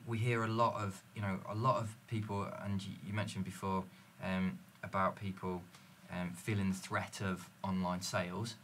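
A man's voice: deep voice, little pitch movement, moderate pace, hardly any pauses, fairly bright tone, a little hiss or hum. A man in a panel discussion, explaining fluently and telling stories from his business, recorded in a dim studio lounge. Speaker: company representative.

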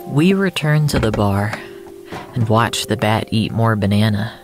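A narrator with a medium-pitched voice reads first-person literary fiction very slowly. The delivery is measured, with dramatic pauses between phrases. Faint music plays behind the voice. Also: lively intonation.

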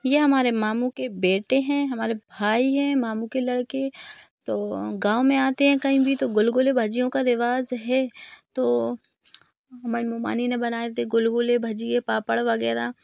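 A woman's voice: on the high side, steady tone, a little slow, gravelly, a little mellow, no echo. A woman, narrating a family vlog in a casual way. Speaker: vlogger.

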